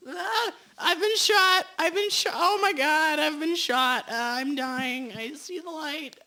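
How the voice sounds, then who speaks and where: Young woman playing a high-voiced melodramatic sidekick. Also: bright, forceful tone, heavily acted. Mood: melodramatic dying. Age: teenager or young adult. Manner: drawn-out, exaggerated. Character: loyal, klutzy, melodramatic detective's sidekick. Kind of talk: amateur radio drama acting.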